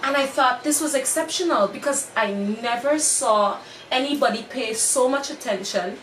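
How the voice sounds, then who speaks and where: A woman's voice: high voice, moderate pace. Guyanese woman on an echoey distant line. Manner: reflective, recounts memories with steady detail. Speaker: guest. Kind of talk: personal storytelling in interview. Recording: echoey distant call audio with background noise.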